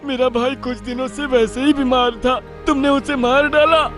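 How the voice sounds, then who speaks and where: Cartoon deer: male actor, very high, strained and protesting, music underneath. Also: steady tone, normal speed, fairly bright tone. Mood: angry grief. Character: grieving, indignant young male deer.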